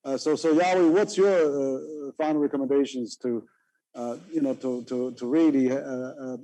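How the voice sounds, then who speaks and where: A man moderating a panel discussion, asking questions in a halting way with many pauses and restarts. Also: mid-range voice, fairly even intonation, warm tone, rough recording.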